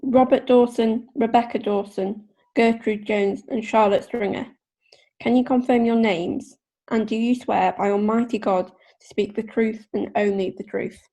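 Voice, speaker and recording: An actress playing a witty court clerk in a radio play, with a dry, punning formal delivery. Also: female voice, a little high, steady tone, measured pace, dark tone, poor audio. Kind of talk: radio drama acting.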